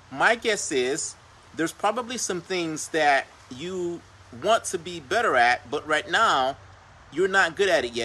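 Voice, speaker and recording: A man's voice: fairly high pitch, pushed and bright, a little hiss or hum. A Haitian-born man whose first language is Creole, hosting a motivational talk on his livestream show. He speaks earnestly and keeps coming back to phrases like "right?" and "per se.". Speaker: podcast host.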